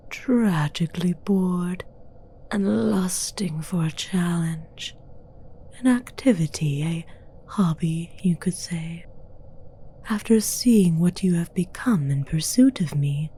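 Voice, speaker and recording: A woman voicing a haughty, seductive female demon villain in a whispered, breathy ASMR fantasy roleplay, speaking formally and with condescension. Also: lowish voice, warm tone. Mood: weary, menacing. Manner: slow, deliberate, condescending, formal.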